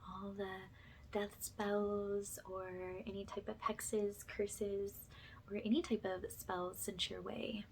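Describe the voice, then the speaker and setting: A woman speaking softly and breathily, often slipping into a whisper, gently reassuring in the style of a guided ASMR energy-healing session. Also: medium pitch, flat delivery, a little hiss or hum. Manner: gentle, slow, reassuring, affirming.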